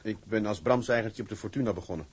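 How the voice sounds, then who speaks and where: A man's voice: on the low side, fast, husky. An actor playing a modest young sailor in his twenties, polite and earnest with his superior. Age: 20s.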